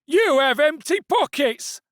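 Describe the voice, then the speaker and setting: A man voicing an angry male fish stallholder who shouts and accuses, in an audiobook. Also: extremely high pitch, expressive, takes it slowly, no echo, clean studio sound, pitched above the performer's own voice, exaggerated voice. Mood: furious.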